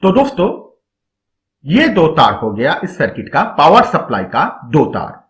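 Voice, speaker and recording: A man's voice: a little high, wildly swooping pitch, unhurried, a bit bright. A man narrating a step-by-step electronics tutorial, instructional and addressing viewers directly as friends.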